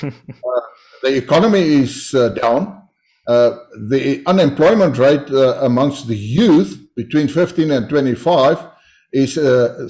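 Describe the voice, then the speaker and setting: A man with a South African accent, Afrikaans his first language, answering interview questions in a measured way with frequent hesitations. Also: medium pitch, darkish tone. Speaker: politician guest.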